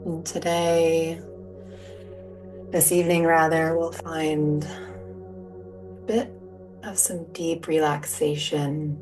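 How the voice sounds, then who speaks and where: A woman leading a guided relaxation as a yoga teacher, speaking in a soothing, gentle, unhurried manner. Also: low voice, level tone. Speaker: yoga nidra meditation guide. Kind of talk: guided meditation, soft spoken instruction. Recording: distant mic, music behind. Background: soft music or sound bowls.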